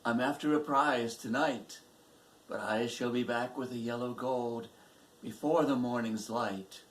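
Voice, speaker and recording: A man voicing a bold, romantic highwayman in a poetry recitation, declaiming theatrically to his sweetheart. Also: fairly even intonation, measured pace, lightly acted, low-quality recording. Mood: ardent, defiant.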